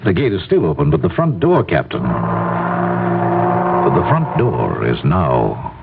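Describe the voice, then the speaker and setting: An actor playing a shrewd, politely probing doctor in a radio mystery, speaking in a measured, insinuating way with pauses. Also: male voice, low-pitched, huge pitch swings, creaky, narrow, tinny audio, exaggerated voice. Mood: suspicious, smooth. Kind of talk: 1970s radio drama acting. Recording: thin, noisy old radio broadcast.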